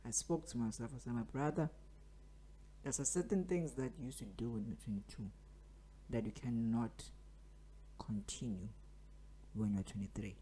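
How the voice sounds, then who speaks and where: A man's voice: medium pitch, normal speed, pauses often, dark tone, slight background noise. A man of twenty-three, hosting a podcast as a casual motivational monologue and repeating phrases for emphasis. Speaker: podcast host.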